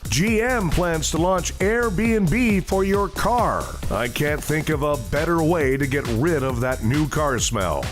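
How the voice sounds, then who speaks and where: A man's voice: on the high side, lively intonation, slow, few pauses, over music. A man hosting a comedic news roundup, reading items with sarcastic punchlines. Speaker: news parody host.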